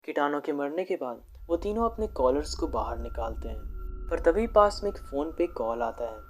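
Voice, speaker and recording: A woman narrator delivers a scripted movie recap. Her voice sits low, yet moves around in a lively way. Faint music plays underneath. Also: moderate pace.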